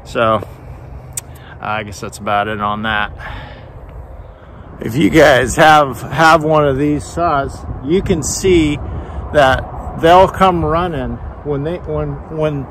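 A man's voice: a little high, slow, fairly bright tone, a little hiss or hum. Older man rambling outdoors on a farm. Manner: rambling, repeats words, friendly. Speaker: vlogger reviewing a tool. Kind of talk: casual product demo.

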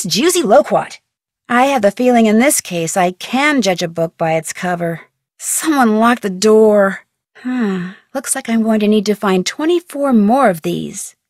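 A woman voicing a plucky, curious young detective in a video game, with an American accent and perky, clearly enunciated, expressive delivery. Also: high-pitched, dry recording, clean studio sound. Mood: coaxing, then musing, focused. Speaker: game character and tutorial guide.

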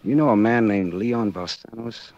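Male actor as hardboiled 1940s radio detective, American, deep voice, wry and world-weary, muffled old-broadcast sound. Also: moderate pace, darkish tone, natural voice. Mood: cool, businesslike. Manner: sardonic, clipped, world-weary. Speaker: actor and narrator in a radio drama. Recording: dull 1940s radio recording.

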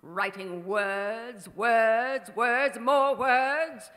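A woman's voice: fairly high pitch, steady tone, drawn-out words, fairly bright tone. A woman playing a vain, imperious faded silent-film diva in a radio drama, with grand, theatrical delivery. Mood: scornful, bitter. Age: middle-aged. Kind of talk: old-time radio drama acting. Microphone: stand microphone on stage. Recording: reverberant theater stage recording.

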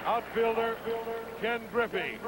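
A man announcing player introductions over a stadium public address system, speaking slowly with long pauses between names, recorded in an outdoor ballpark. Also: very high voice, loud music behind the voice. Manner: slow, deliberate, drawn-out pauses between names. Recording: stadium PA with loud crowd noise, 1980 broadcast. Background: loud crowd.

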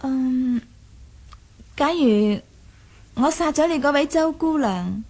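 A young noblewoman with a high voice, in the style of 1980s radio drama. She is proud and willful, coy one moment and imperious the next, with a ruthless, teasing edge. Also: animated, takes it slowly, a little mellow, exaggerated voice.

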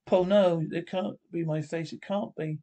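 A man acts out a troubled young man in a radio horror play, a test subject who stays resolute through horror. The voice is mellow and gravelly, and the lines come out haltingly. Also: on the high side, steady tone. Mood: horrified. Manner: halting, stumbling delivery. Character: troubled young man, son turned experiment subject, horrified yet resolute. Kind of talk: amateur reading of 1940s radio horror script.

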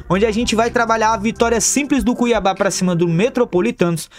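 A man with a Brazilian Portuguese accent, a football betting tipster talking viewers through his picks in an upbeat, chummy way. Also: high-pitched. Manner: upbeat, chummy, calls viewers "rapaziada" and "família". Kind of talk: scripted tips over screen recording. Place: voice-over for a screen recording.

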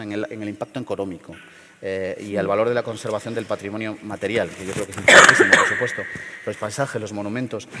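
A middle-aged man from León in Spain, speaking at a panel forum in a measured, hesitant way with many fillers and restarts. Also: fairly low pitch, fairly bright tone, big-room sound. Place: large theater stage.

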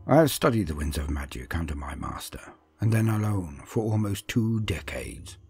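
A man voicing a brooding male sorcerer speaks in a very low, hushed voice over music. He is defiant and deliberate, telling his own dark fantasy story. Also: sing-song, creaky, slightly rough, no echo, exaggerated voice. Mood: brooding resolve. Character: brooding, defiant village sorcerer, once an apprentice.

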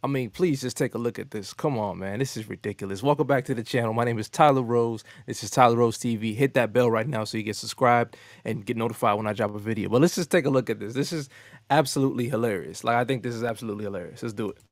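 A man's voice: mid-range voice, quick pace, no room sound. A young man hosting his own channel, reacting to street interview clips with mocking, exasperated commentary from a bedroom studio. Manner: mocking, exasperated, animated asides. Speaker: host. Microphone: studio mic, headphones on.

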